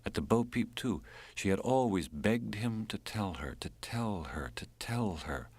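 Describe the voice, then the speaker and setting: A man's voice: fairly low pitch, animated, measured pace, darkish tone, husky, no room sound. A man with an American accent reading a literary audiobook as narrator.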